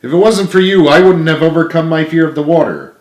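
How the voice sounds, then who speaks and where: A man acts out a grateful male teammate from a storybook, formerly timid. He pushes his voice higher with earnest emphasis, and the room echoes clearly. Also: fast, lightly acted. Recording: roomy, echoing room sound.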